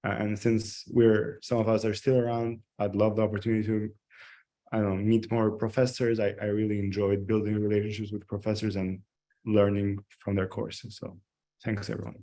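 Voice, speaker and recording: A man's voice: lowish voice, level tone, moderate pace, mellow. A man from Albania introducing himself on a panel, earnest and sometimes searching for words. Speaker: panelist, startup founder.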